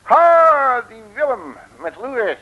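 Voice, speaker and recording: A cocky detective in an old radio comedy serial. His high voice pours out brash, folksy slang and bossy boasts. The recording is dull and dated. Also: sing-song, slow pace, exaggerated voice. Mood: cocky, familiar.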